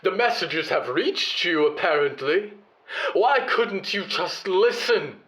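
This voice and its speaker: Haughty corporate villain, very high pitch, swooping intonation, pompous, disdainful, audio drama. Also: male voice, lively intonation, takes it slowly, a bit bright, heavily acted, rough recording. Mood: scornful, indignant.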